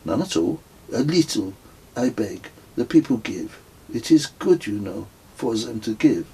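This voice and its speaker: A man's voice: medium pitch, big pitch swings, a little slow, gravelly, dark tone, slightly rough, pitched above the performer's own voice, exaggerated voice, poor audio. A man doing a smug pilgrim with a French accent. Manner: broken English, sing-song, self-satisfied. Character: smug wandering male pilgrim, serene.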